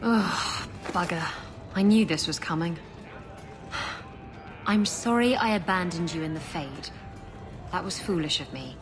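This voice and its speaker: A woman's voice: fairly low pitch, a little slow, long pauses, over music, close to an everyday voice. An actress playing a brash, flirtatious female pirate captain in a game, cheeky, sardonic and theatrical. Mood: rueful.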